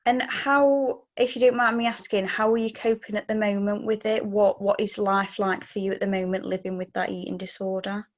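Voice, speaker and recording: A young woman presents and interviews in a medium-pitched voice. She explains things plainly and phrases her questions with care and consideration. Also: fairly even intonation, normal speed, hardly any pauses, dull audio. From Leicester, England.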